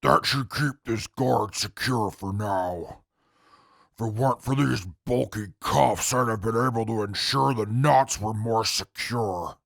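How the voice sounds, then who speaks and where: Haughty, scornful convict played by a man, extremely gravelly, slow and formal, for a wasteland audio drama. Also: lowish voice, a bit bright, no echo, studio quality, exaggerated voice. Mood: curt, determined. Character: stern, haughty male prisoner, proud and scornful. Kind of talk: post-apocalyptic audio drama acting.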